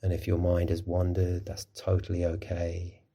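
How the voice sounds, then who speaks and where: A man with a low voice and a soft husky edge leads a guided meditation. His tone is dark and relaxed, and he gives each instruction gently and without hurry, as a mindfulness teacher would. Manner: gentle, unhurried, soothing instruction. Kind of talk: guided body scan meditation.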